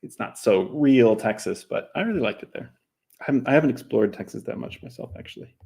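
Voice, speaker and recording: A man's voice: medium pitch, expressive, fast, vocal fry, dark tone, slightly rough. An American man from New Mexico, chatting casually and rambling in a self-deprecating way while he draws on a live stream. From New Mexico, USA. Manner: rambling, self-deprecating, slips into other languages. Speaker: cartoonist streaming while drawing.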